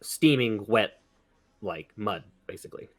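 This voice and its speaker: A man's voice: lowish voice, lively intonation, a little slow. A man describing his character's actions in an improvised tabletop roleplaying game, hesitant and searching for words.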